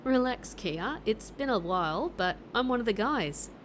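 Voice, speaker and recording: Actress as breezy Aussie female agent: playful, slangy, animated delivery, audio drama, noticeable background rumble. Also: normal speed, lightly acted. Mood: cheerful. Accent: Australian. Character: breezy, friendly Australian female secret agent. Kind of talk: audio drama acting with narration.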